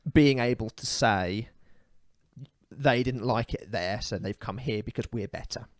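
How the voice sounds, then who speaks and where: A man's voice: medium pitch, measured pace, dry recording. Opinionated man ranting on a dry podcast mic. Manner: opinionated, ranting, many fillers. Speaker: podcast co-host. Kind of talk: casual comedic podcast chat.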